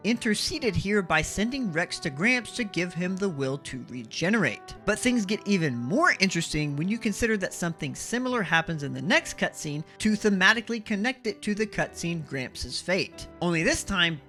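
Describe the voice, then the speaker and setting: Bright, animated male video essay host. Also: sits high. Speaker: host of a video game analysis series. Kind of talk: scripted video essay narration. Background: background music.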